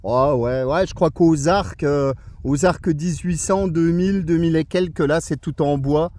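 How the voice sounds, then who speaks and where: A man's voice: on the high side, measured pace, warm tone. A man chatting casually and colloquially as an amateur radio operator, in an easygoing manner. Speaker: radio operator on a lunch break. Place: outdoors in the mountains.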